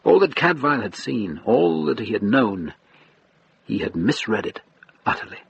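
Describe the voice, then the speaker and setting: Male narrator, radio drama: literary, deliberate phrasing, lively intonation, noisy ambience behind. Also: mid-range voice, creaky, lo-fi sound.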